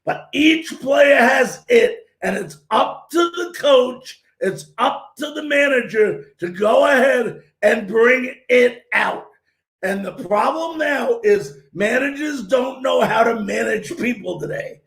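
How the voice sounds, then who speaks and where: A male sports talk show host who is losing his voice and hoarse, loud and opinionated, giving his views and calling play-by-play. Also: extremely high pitch, expressive, slow, roomy sound. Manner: loud and opinionated, often shouting.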